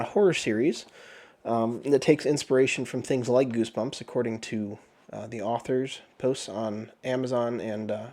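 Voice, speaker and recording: Male host, under the weather with flu, rambling casual delivery, frequent throat clearing, mid-pitched. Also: darkish tone. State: sick with the flu, voice sounds off. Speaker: book review channel host. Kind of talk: casual chat.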